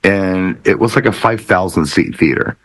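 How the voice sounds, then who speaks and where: A man's voice: low voice, normal speed, fairly bright tone, dry recording, low-quality recording. A man co-hosting a casual talk show, explaining things conversationally. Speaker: podcast co-host.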